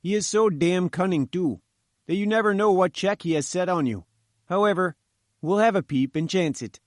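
A man's voice: high-pitched, moderate pace, dry recording, studio quality, voice pushed up, lightly acted. A man voicing a nervous, wary chief engineer. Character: nervous middle-aged male chief engineer.

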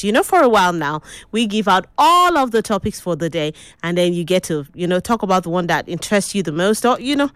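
A woman's voice: fairly low pitch, clear, resonant tone, no echo. A Nigerian-accented woman hosting a radio talk show, chatting and putting questions to listeners. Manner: conversational, asks listeners questions. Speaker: radio host.

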